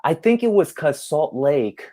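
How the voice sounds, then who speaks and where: A man's voice: fairly high pitch, very animated, dark tone. A man in his thirties, raised in Hong Kong with Cantonese as his first language, chatting as a guest with frequent restarts and laughs. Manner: halting, restarts often, laughs between stories. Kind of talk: casual interview chat.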